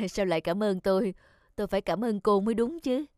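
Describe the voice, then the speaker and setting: A woman's voice: mid-range voice, brisk, darkish tone, no room sound, studio quality, close to an everyday voice. A woman voicing an honest, humble poor man in a cartoon, polite and grateful. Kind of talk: animated cartoon dubbing.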